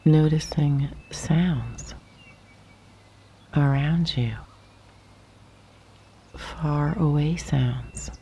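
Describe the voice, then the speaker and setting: A woman guiding a yoga nidra meditation in a soft, breathy half-whisper, gently and calmly, with long pauses. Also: sits low, a little hiss or hum. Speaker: meditation guide. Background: birdsong and nature sounds.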